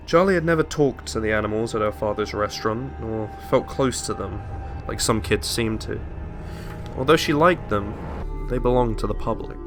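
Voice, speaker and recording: A man narrating a horror novel as a scripted, dramatic audiobook reading. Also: lowish voice. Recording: music under the voice.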